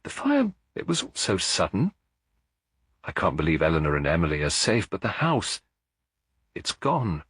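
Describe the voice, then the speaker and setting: A man's voice: low-pitched, wildly swooping pitch, moderate pace, long pauses, fairly bright tone, slightly rough, dry recording, clean studio sound, exaggerated voice. A man voicing a weary, grieving village family man who speaks hesitantly in a mystery story. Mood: weary, shaken.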